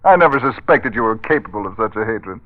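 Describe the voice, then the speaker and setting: An actor playing a genteel, trusting married gentleman in old-time radio drama style, polite and formal. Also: on the low side, animated, quick pace, close to an everyday voice. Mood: shocked, incredulous. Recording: tinny, band-limited vintage radio recording.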